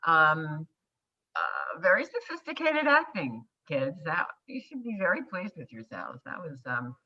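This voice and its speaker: A woman moderating a talkback over a video call, chatty and quick to affirm the actors. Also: low voice, wildly swooping pitch, pushed and bright, muffled recording. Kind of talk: casual panel talk on a video call.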